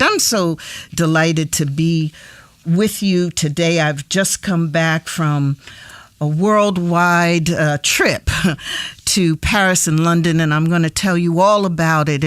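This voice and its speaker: A woman hosting an interview show, reading an upbeat introduction and then chatting with her guest with quick, effusive affirmations. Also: low-pitched, dry recording. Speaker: show host.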